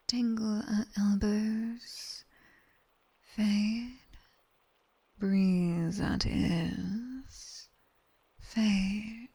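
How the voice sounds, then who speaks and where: A British demoness tutor, acted by a woman, whispers very slowly in a breathy, husky hush. Her tone is soft and dark, with a faint crackle of fry. She is sharp-tongued and quietly commanding, giving clipped ASMR sleep instructions close to the mic. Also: medium pitch, heavily acted. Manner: slow, clipped instructions with dry, teasing praise. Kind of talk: ASMR roleplay, guided sleep session. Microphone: close binaural microphone.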